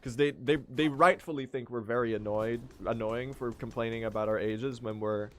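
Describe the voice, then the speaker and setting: A late-teen man from Sweden, a native Swedish speaker, chatting casually on a gaming livestream in a rambling, joking way with sudden excited outbursts. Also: mid-range voice, moderate pace, faint music behind. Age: late teens. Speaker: streamer.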